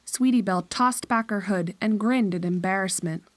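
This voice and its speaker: A woman's voice: mid-range voice, normal speed, no echo. A woman narrator reading a scripted horror story in a storytelling style.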